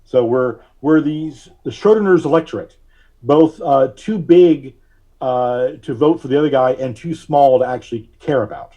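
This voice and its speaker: A man speaking as a podcast guest, giving conversational political commentary in a measured, wry, halting way. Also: mid-range voice, mellow, highs cut off.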